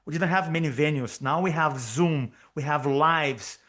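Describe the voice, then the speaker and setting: Brazilian-accented man, energetic speaking coach. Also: a little high, a little slow. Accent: Brazilian Portuguese. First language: Portuguese. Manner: energetic, emphatic, repeats key phrases. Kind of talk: interview guest on video call. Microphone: video call.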